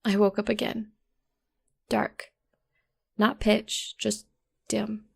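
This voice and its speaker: Woman voicing young female pony, storybook narrator, sarcastic, stubborn, conversational, creaky, mid-pitched. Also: flat delivery, takes it slowly, dry recording, studio quality, heavily acted. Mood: weary, wary. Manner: conversational, wry, informal. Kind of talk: first-person dramatic story narration.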